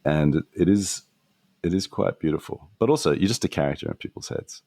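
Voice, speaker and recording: A podcaster man with a deep voice, sitting low at the very bottom of the male range. He muses aloud in a relaxed, wandering way, trailing off and restarting. The sound is close and completely dry. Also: fairly quick, vocal fry, no echo. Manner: thoughtful and rambling, many fillers and restarts. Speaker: podcast guest, podcaster and former radio host. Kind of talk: casual reflective conversation.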